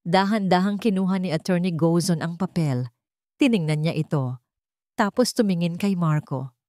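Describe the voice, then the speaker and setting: A woman reads a dramatic scripted story as an audiobook narrator. Her voice sits slightly low and the sound is very dry. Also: normal speed, dark tone, no echo.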